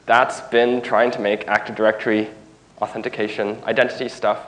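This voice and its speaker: A man's voice: lowish voice, little pitch movement, a little fast, a bit bright, low-quality recording. A man giving a technical conference talk in a room, stuffed up from a cold, informal and often restarting phrases. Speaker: conference speaker.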